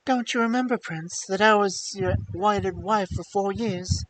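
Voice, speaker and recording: Man voicing a high, pleading fairy-tale princess. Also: unhurried, a bit bright, low-quality recording. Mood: urgent pleading. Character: sorrowful, pleading princess, long-devoted young wife. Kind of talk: fairy tale storytelling, chanted slow verse.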